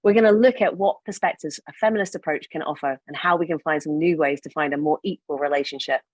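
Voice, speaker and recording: A woman's voice: low-pitched, steady tone, fast, dry recording. Woman moderator, upbeat, close and dry recording. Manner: upbeat, welcoming. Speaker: panel moderator. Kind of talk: moderating an online panel.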